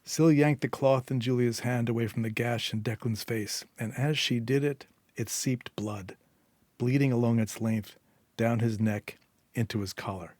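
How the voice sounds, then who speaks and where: Male storyteller for dramatic short fiction, middle pitch, dry close sound. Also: normal speed, darkish tone, no echo. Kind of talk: scripted narration, dramatic short story reading.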